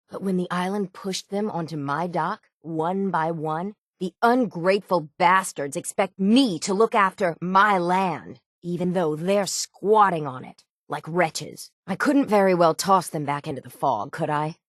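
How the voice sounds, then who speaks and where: A woman voicing a gruff, stubborn, hard-bitten shipwright in a video game, with salty, plainspoken seafaring talk. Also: lowish voice, measured pace, hardly any pauses, no room sound, clean studio sound. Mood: bitter, resentful. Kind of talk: video game character dialogue.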